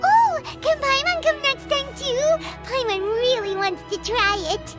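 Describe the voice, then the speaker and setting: A woman voicing a tiny, childlike fairy companion in a video game, squeaky, perky and eager. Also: a little slow. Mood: eager, hungry. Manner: perky, refers to self in third person. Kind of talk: English video game voice acting. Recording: music behind the voice.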